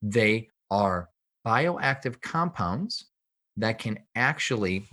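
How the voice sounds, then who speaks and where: A man with a fairly low voice talks as an expert guest on a podcast. He explains in an emphatic, teacherly manner, often putting a question and answering it himself. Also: animated, slow, bright, forceful tone. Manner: emphatic, didactic, asks and answers own questions. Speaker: podcast guest, health researcher and author. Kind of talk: explanatory interview talk.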